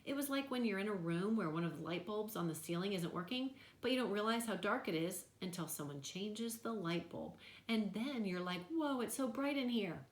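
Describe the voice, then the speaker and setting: A woman giving an expressive read-aloud of a children's novel. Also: mid-range voice, fairly quick, few pauses, reverberant.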